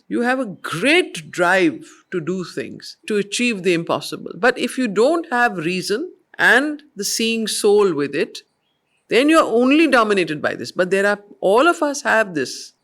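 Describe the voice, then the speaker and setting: A middle-aged woman with an Indian accent, reading poetry and explaining it in a deliberate, emphatic, didactic manner as a study circle teacher. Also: mid-range voice, a bit bright. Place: group study session.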